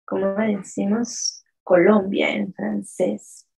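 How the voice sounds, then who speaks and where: A woman teaching a live online lesson, drawing out words slowly in pronunciation drills and praising the chat's answers. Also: medium pitch, level tone, vocal fry, low-quality recording. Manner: slow, drawn-out pronunciation drills; asks the chat questions and praises answers. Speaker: French teacher.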